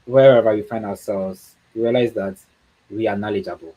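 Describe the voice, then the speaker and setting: A man's voice: lowish voice, steady tone, brisk, muffled recording. A man from Ghana with a Ghanaian accent, speaking as a guest on a livestream talk, earnest and encouraging, often repeating words for emphasis.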